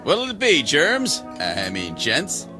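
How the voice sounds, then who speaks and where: Chirpy obliging bartender, highish voice jumping around, radio play, faint music behind. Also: male voice, huge pitch swings, slow pace, full character voice. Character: eager, obliging male bartender. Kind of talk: radio drama acting.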